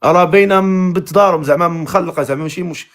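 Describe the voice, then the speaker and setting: A man's voice: high-pitched, racing. A man with a Moroccan Arabic accent hosting a live matchmaking stream, teasing and joking with callers. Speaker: live matchmaking show host. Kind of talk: casual live-stream chat.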